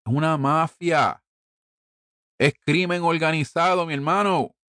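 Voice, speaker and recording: A man's voice: a little high, measured pace, sharp tone, dry recording, studio quality. Profane ranting Puerto Rican man. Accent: Puerto Rican Spanish. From Puerto Rico. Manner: profane, ranting, rhetorical questions. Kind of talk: heated political monologue.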